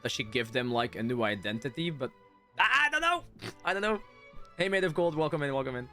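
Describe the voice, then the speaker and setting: Chatty male game streamer, medium pitch. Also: sing-song, brisk, soft background music. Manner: chatty, reads chat aloud, thinks out loud. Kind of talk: casual livestream chat and reactions.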